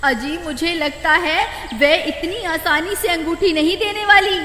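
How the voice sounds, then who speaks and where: A woman's voice: extremely high pitch, unhurried, bright, forceful tone, a little hiss or hum, voice pushed up, full character voice. A woman voicing dramatic, bossy village wives in a children's moral story, with an exclaiming manner. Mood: anxious, scheming. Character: indignant, scheming village wives, shrill and bossy. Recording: echoey reverberant sound with faint music.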